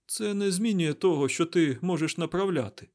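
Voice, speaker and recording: A male narrator plays a hot-headed, jittery young man in a fantasy audiobook. The voice is pushed high and loud, sputtering and exclaiming with agitation. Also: normal speed, close to an everyday voice. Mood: agitated. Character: panicky, hot-tempered young man, blurting and cursing.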